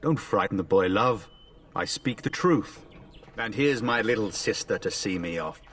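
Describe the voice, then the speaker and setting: A man's voice: fairly low pitch, lively intonation, a little slow, a bit bright, distant mic. An actor playing a confident, affectionate young nobleman, a husband and father, in a fantasy video game. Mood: affectionate, reassuring.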